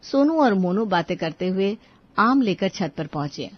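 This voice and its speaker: A woman's voice: medium pitch, lively intonation, moderate pace, slightly rough, dry recording, dull audio. A woman narrating a scripted story as the storyteller.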